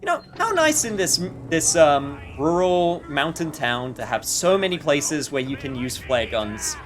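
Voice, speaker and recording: A man's voice: fairly high pitch, big pitch swings, a little slow. A man doing casual let's play commentary, thinking aloud and reacting wryly.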